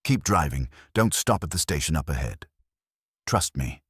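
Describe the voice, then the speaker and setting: A man acts out a mysterious, emotionless CB radio stranger from a horror story. The voice is very deep and raspy, giving cryptic warnings in an almost robotic manner, with a dry, close sound. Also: lively intonation, normal speed, a bit bright, no echo, full character voice. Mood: ominous, commanding.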